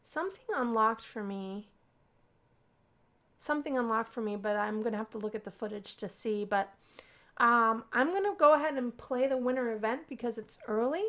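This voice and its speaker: Woman w/ highish voice reading out a visual novel for a lets play, expressive storytime style. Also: moderate pace. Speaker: gaming video creator. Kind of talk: let's play narration of a visual novel, read aloud.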